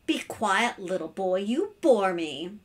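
A woman's voice: medium pitch, very animated, slow pace, recorded from a distance. A woman voicing a bossy, indignant eleven-year-old girl in a storybook, complaining dramatically. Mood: scornful.

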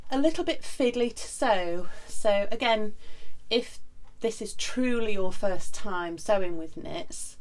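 Chatty woman with a croaky flu voice. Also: mid-range voice, a little mellow. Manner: chatty, friendly, many ums and asides. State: hoarse from flu. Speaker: sewing vlogger. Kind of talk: casual to-camera review. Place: home sewing room, indoors. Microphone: camera in front at desk.

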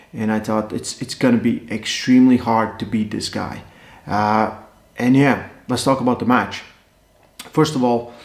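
Man, echoey living room. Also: mid-range voice. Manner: animated, gesturing, conversational with fillers. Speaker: arm wrestling coach and analyst. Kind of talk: casual post-match analysis. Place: living room, seated on a sofa.

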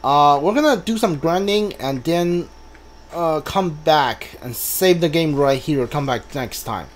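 A man's voice: on the high side, expressive, a little slow, a little hiss or hum. A man giving casual gameplay commentary, muttering his moves aloud as he thinks them through. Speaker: gamer playing through a role-playing game. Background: game music.

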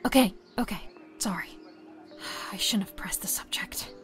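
A woman's voice: mid-range voice, normal speed, whispered, close to an everyday voice. Actress as concerned lady friend in an audio drama, careful and pleading, bit of music behind her. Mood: soothing. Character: earnest, gently persuasive woman friend.

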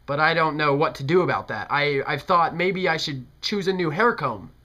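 A man's voice: fairly high pitch, animated, normal speed, sharp tone. A young American man making a prank phone call as a polite, hesitant, folksy older customer. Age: young adult. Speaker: prank caller. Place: small bedroom, indoors. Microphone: camera at close range, talking into a speakerphone held in hand.

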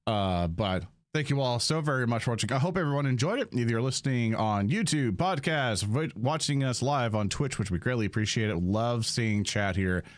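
A man's voice: mid-range voice, animated, a little fast, hardly any pauses, no room sound, studio quality. A man hosting as game master, narrating a live-play tabletop game and talking casually to viewers.

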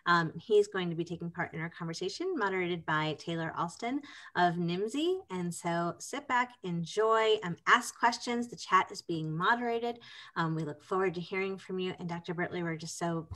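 A woman opens an online event and introduces the guest speaker, pausing on fillers as she goes. Her voice sits low for a woman and comes through a webcam on a video call. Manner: warm, filler-heavy introduction. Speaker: partnerships director introducing a guest. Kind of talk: webinar introduction.

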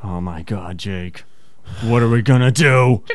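A man's voice: lowish voice, a little slow, a crowd in the background, voice pushed down, close to an everyday voice. A man playing a panicky, rage-prone castaway in a comic radio play, overdramatic and stammering. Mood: alarmed melodrama.

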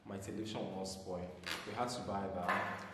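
A young man with a Nigerian accent chatting loosely in a casual home vlog, excitable and trailing off with ums. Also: deep voice, fairly quick, heavy background noise. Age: young adult. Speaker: vlogger. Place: small bare room at home, indoors. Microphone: lapel mic. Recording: echoey room with music underneath.

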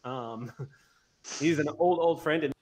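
A man's voice: on the high side, slow pace, darkish tone, low-quality recording. A man in his thirties casually hosting a tabletop roleplay livestream as game master. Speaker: game master and stream host. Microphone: desk mic on video call.

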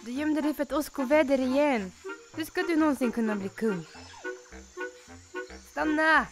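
Cartoon bird, female actor, high shrill pitch, fussy, scolding, nagging, faint music behind. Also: close to an everyday voice. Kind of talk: cartoon fan dub acting.

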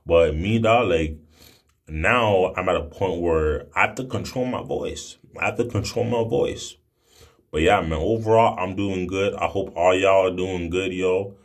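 A young man in his twenties with a fairly low voice delivers a casual monologue to camera. His talk is brash and slangy, full of emphatic swearing. He sounds like a video blogger recording on a phone indoors. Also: measured pace. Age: 20s. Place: small room indoors. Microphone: phone at arm's length.

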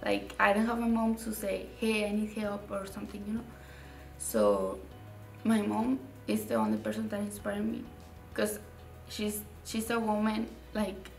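A young woman from Mexico, a native Spanish speaker with a Mexican Spanish accent, answering interview questions in a halting way, repeating words and using many fillers. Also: medium pitch, creaky, darkish tone, roomy sound, recorded from a distance, rough recording. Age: young adult. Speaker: graduating student. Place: indoor studio with dark curtain.

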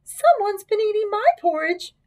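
A woman's voice: extremely high pitch, animated, moderate pace, voice pushed up. A woman voicing a fussy, surprised grown Mama Bear in a children's storybook, in an emphatic singsong. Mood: surprised, put out.

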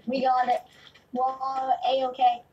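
A woman's voice: sits high, completely flat delivery, slow, highs cut off. A woman chatting casually and playfully as a fellow player in a game roleplay, heard in a distant, noisy recording.

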